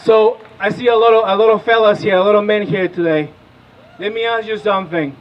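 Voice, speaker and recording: A man in his thirties from Peru speaks at an outdoor rally, pushing the crowd with questions. His voice sits high for a man, and the recording is muffled and dull. A faint hiss runs under him. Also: steady tone, fairly quick. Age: 30s. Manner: rousing, asks the crowd questions. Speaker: rally speaker, political organizer. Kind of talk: impassioned rally speech.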